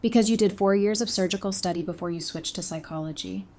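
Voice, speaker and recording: An actress playing a supportive woman doctor in an audio drama, speaking informally with gentle teasing and reassurance. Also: mid-range voice, fast, slight background noise, close to an everyday voice. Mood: encouraging.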